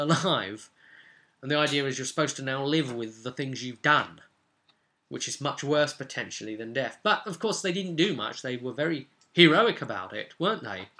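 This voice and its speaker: Man, bright forceful voice, mid pitch, casual game master monologue, rambling, self-questioning. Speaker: game master and vlog host. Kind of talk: casual reflective monologue about tabletop role-playing.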